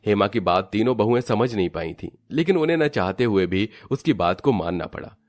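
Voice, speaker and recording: A man serves as the storyteller for a cartoon family tale. His voice sits fairly low, and he reads scripted narration in a storybook style. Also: expressive, brisk, darkish tone.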